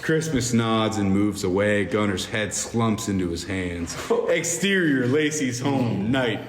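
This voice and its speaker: A man's voice: fairly low pitch, unhurried. A man narrating stage directions from a screenplay in a dramatic way at an amateur table read. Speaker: podcast member reading stage directions. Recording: echoey hall, faint noise.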